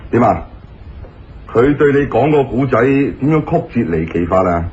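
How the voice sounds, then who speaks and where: A man's voice: medium pitch, lively intonation, normal speed, darkish tone, highs cut off, natural voice. A man playing a brusque, sceptical police colonel in a Cantonese radio drama.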